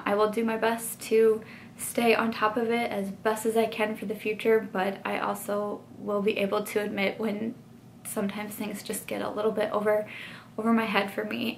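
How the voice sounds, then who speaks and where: A young woman beauty vlogger speaking candidly to her viewers, earnest and hesitant, with many pauses and restarts. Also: a little high, vocal fry, slight background noise. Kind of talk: candid apology vlog to camera.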